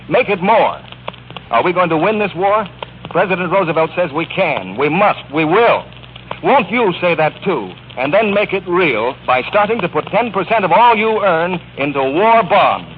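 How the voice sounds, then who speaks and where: A man announcing on 1940s radio, delivering an earnest, rhetorical scripted appeal straight to the listeners. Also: sits high. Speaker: radio announcer reading a war bond appeal. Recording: tinny, noisy 1940s radio broadcast.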